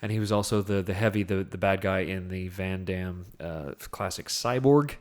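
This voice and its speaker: A man with a slightly low voice talks casually about movies as a podcast co-host. He goes along haltingly, often repeating a word while he looks for the next. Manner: halting, repeats words as he searches. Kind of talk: casual film discussion.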